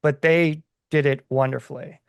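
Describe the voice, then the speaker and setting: A man with a fairly high-set voice discusses things casually on a podcast. He explains conversationally, with halting phrasing. The sound is dry, with very little room in it. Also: fairly even intonation, gravelly, smooth, no echo. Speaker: podcast co-host.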